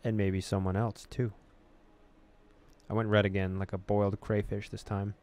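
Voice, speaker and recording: A man hosting a gaming video, reading game text aloud in an expressive storytelling way with casual, amused asides. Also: on the low side, moderate pace.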